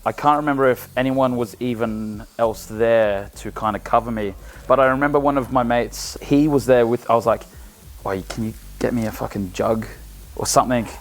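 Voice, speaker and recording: A man's voice: medium pitch, fairly even intonation, moderate pace. A man swearing his way through a rambling story. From Samoan heritage. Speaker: DJ, podcast host. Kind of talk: casual drunken storytelling.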